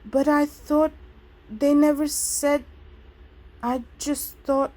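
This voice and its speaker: A woman voicing a flustered countrywoman caretaker in her late thirties, with a soft, breathless English country accent. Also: lowish voice, big pitch swings, breathy edge. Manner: flustered, hurried.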